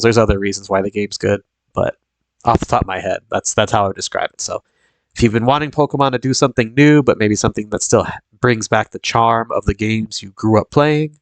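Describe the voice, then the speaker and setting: A man's voice: fairly low pitch, a little fast, no room sound. A young man with a sniffly, congested voice from a cold, chatting casually as a live-stream host in a rambling way full of fillers and restarts.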